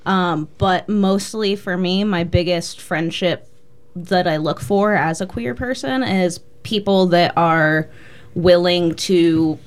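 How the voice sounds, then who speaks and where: A woman's voice: lowish voice, slow pace, smooth. A woman in her twenties talking informally as a guest on a radio panel in a studio. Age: 20s.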